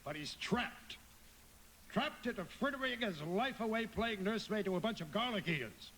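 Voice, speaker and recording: Pompous, swooping high-voiced old tycoon. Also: male voice, expressive, normal speed, a bit bright, a little hiss or hum. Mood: smug, baiting. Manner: pompous, persuasive, domineering. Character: scheming, imperious older male banker and tycoon. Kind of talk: live radio drama acting.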